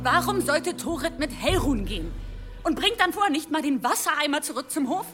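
Fretful, foreboding mother, very high register, audio drama with clear music bed. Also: big pitch swings, fairly quick. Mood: worried, insistent. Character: worried, insistent village mother, full of foreboding. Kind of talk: German audio drama acting.

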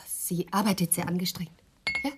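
A woman's voice: lowish voice, fairly even intonation, normal speed, music in the background, natural voice. An actress playing a friendly, cheerful young woman visitor in a radio play, polite and light in manner. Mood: considerate. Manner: polite, light, laughing easily. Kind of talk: 1980s German radio play acting.

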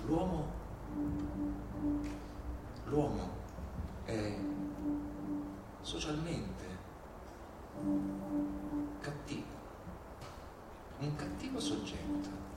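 A man giving a hushed, grave and measured dramatic reading as a stage narrator. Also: a little high, sing-song. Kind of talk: slow theatrical dramatic reading. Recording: echoey hall, distant, music behind.

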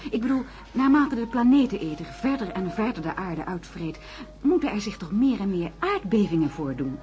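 A woman's voice: medium pitch, very animated, normal speed, dark tone, close to an everyday voice. An actress playing an inquisitive, practical woman among scientists in a Dutch radio drama, questioning and articulate.

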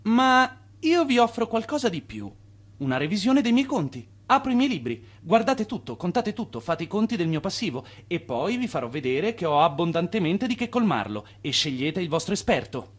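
Radio drama: confident swindler financier, immigrant made good, high voice, persuasive showman. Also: very animated, moderate pace, husky, heavily acted. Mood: smooth, assured. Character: Charles Ponzi, self-assured Italian immigrant financier and swindler.

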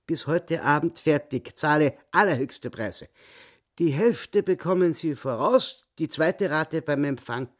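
An actor playing a cocky, boastful small-time chess hustler in a radio play, talking in a self-important, challenging way. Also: male voice, on the high side.

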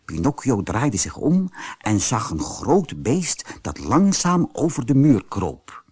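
A man narrating a children's fairy tale audiobook as an expressive, scripted storyteller. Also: medium pitch, slow, husky.

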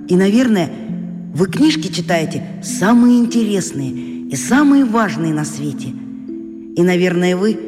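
Shy sixth-grade boy, high voice, hesitant and polite, earnest letter-reading, children's radio drama, music underneath. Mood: shyly admiring. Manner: hesitant, polite, sincere, pausing between phrases. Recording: long reverb, music underneath.

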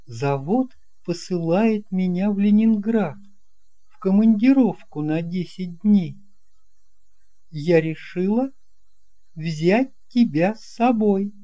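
A kindly, practical mother from a storybook, played by a woman reader. Her voice sits fairly high. She gives instructions in a matter-of-fact way. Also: animated, slow, pauses often, dark tone. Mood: pleased. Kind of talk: audiobook character voice.

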